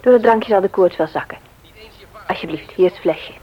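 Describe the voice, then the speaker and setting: An actress playing a calm, reassuring wife and mother in a radio play. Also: on the low side, a little fast, natural voice. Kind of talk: Dutch radio play acting. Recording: muffled vintage radio recording.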